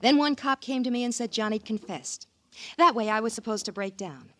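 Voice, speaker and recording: An actress playing a scornful, hard-boiled murderous wife, taunting and sardonic, in 1950s radio crime drama. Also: female voice, on the high side, brisk, no echo, natural voice. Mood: mocking.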